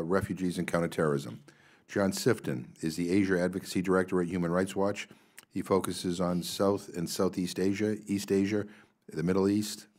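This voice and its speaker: A man in his sixties with a Massachusetts accent, chairing a congressional hearing and reading out panel introductions with many pauses and stumbles over names, into a desk microphone in a large hearing room. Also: sits low, darkish tone. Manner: halting, filler-heavy reading, stumbles on names.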